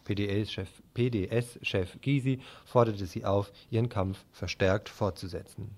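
A man's voice: fairly low pitch, steady tone, takes it slowly, very noisy. A man working as a radio news presenter, reading reports and introductions from a script.

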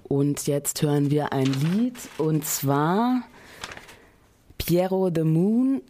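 Casting: radio presenter of unclear sex, dark relaxed tone, loosely delivering a report with colloquial, hesitant phrasing. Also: medium pitch, extremely slow, a little hiss or hum. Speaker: radio show host. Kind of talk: informal radio report, partly scripted.

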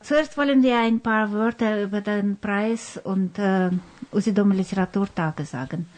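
Woman radio host reading out a script, bit halting, mid-range voice. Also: mid-range voice, darkish tone. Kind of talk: scripted radio presentation in German.

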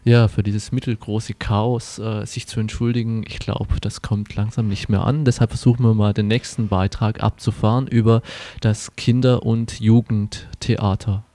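A man with a low, mellow and dark voice hosts a live broadcast. His delivery is flat and halting, as he moderates without a script. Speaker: broadcast host.

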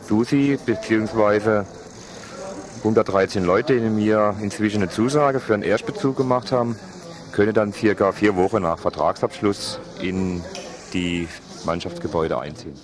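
A man with a lowish voice answers as spokesman for a housing initiative. The interview is recorded outdoors, with clear crowd and traffic noise behind him. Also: moderate pace. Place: outdoors on a town square.

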